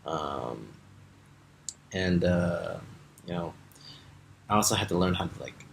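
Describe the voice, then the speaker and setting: Young man, very low gravelly voice, laid-back interview talk, faint noise behind. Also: slow. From United States. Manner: relaxed, many fillers. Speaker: Peace Corps volunteer. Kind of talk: casual interview answers.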